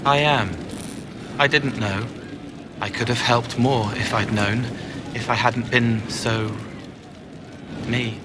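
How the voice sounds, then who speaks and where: An actor playing a haunted, gentle young male spirit in a fantasy video game, speaking in halting, poetic fragments. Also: mid-range voice, fairly even intonation, close to an everyday voice. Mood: guilty, haunted. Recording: game audio with noisy ambience behind.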